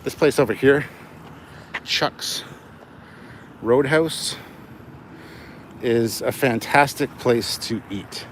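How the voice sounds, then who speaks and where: A man's voice: mid-range voice, very slow, long pauses, a bit bright. A man livestreaming casually as he walks outdoors, talking in a rambling, joking, sweary way. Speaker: livestream host. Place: outdoors, walking along city streets near traffic. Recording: noisy outdoor street with passing cars.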